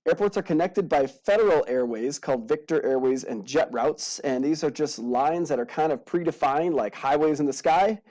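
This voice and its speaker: Mellow-voiced man teaching casually. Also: fairly high pitch, normal speed, hardly any pauses, rough recording. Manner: playful, casual asides. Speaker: instructor. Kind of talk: informal aviation lesson narrated over slides.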